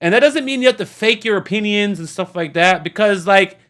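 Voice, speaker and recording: Bright-voiced man doing casual reaction commentary. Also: high voice, fairly quick. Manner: animated, slangy, repeats himself. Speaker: YouTube reaction host.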